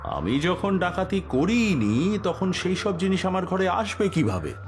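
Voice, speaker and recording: A man's voice: sits high, sing-song, a little slow, soft background music. An actor playing a captured bandit chief in an audio detective story, indignantly insisting he is innocent.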